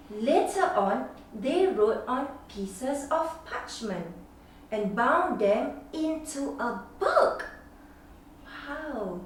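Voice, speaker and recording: A mother with a Singaporean accent reading a children's picture book aloud, expressive and sing-song, with gasps. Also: high voice, slight background noise. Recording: echoey room, mic at a distance.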